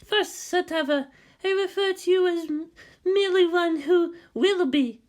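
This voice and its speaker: Someone putting on a high-voiced, gentle woman guide. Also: measured pace. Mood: gentle, respectful.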